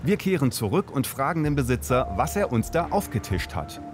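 A man's voice: medium pitch, sing-song, fairly quick, music in the background. A man narrating a consumer documentary in a matter-of-fact scripted voice.

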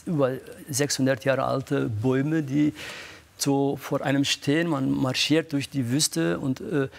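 A man, a guest athlete, excitedly recounting a hard desert run, halting often with fillers and repeated words. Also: mid-range voice, vocal fry. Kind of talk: emotional recounting in a studio interview.

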